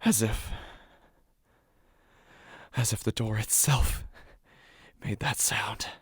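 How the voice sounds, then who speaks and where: A man acting a first-person horror audio drama as a paranoid, sleepless man full of dread, in a breathy, halting voice that often drops toward a whisper. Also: on the low side, no room sound, studio quality, full character voice. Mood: uneasy dread.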